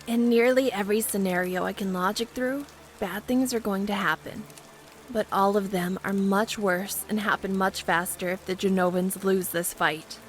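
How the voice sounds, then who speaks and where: A woman's voice: mid-range voice, fairly even intonation, normal speed, slight background noise, natural voice. A woman playing a logical, foreboding adventurer with a serious, analytical delivery in a fantasy audio drama. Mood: grim.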